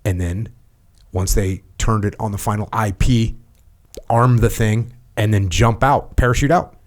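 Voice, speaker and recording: Casting note: male podcast host, middle-aged, low voice, blunt and direct, conversational storyteller. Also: steady tone, measured pace. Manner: blunt, probing questions and plain storytelling. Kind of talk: casual conversational interview.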